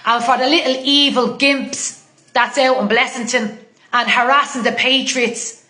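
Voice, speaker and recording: A woman's voice: a little high, steady tone, measured pace, sharp tone, roomy sound. An Irish woman with a Dublin accent delivering a heated, combative monologue to camera, blunt and full of swearing. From Ireland.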